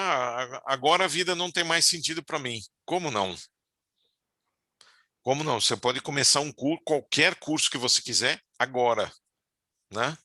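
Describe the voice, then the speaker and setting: A middle-aged man talks through spiritual ideas in an easygoing, conversational way, often ending his sentences with little tag questions. His voice is very bright and forward. The sound is close and dry, with no room around it. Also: mid-range voice, dry recording. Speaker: spiritual teacher. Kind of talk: casual spiritual talk.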